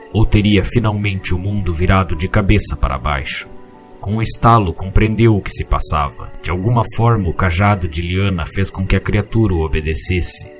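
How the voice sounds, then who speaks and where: A man with a Brazilian accent narrating a scripted storybook tale. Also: deep voice. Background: music with guitar.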